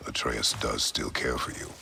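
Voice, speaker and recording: A man playing a stoic, brooding middle-aged warrior father in a video game, speaking in terse, grave, blunt phrases with a gravelly rumble. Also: level tone, normal speed, bright, forceful tone, exaggerated voice. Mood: grave, restrained. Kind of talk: cinematic video game acting.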